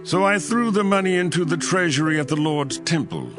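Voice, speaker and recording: Man playing an impassioned prophet, widely swinging pitch. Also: lively intonation, fairly quick, over music, lightly acted. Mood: resolute, indignant. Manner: dramatic, declamatory, emphatic. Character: impassioned male prophet narrating his visions. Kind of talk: dramatised scripture narration.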